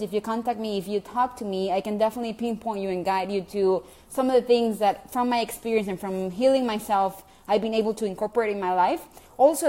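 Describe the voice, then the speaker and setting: A woman's voice: mid-range voice, a little mellow. A woman from Venezuela with a Venezuelan Spanish accent, Spanish being her first language. She speaks as a gut health coach to her online group, chatty and encouraging, with many ums and restarts. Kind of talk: casual direct-to-camera talk to an online group.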